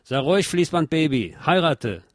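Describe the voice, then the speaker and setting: A man's voice: fairly high pitch, sing-song, moderate pace, no echo, studio quality, voice pushed up. A man voicing a stern authoritarian conformist, reading curt, commanding quoted song lines within his narration.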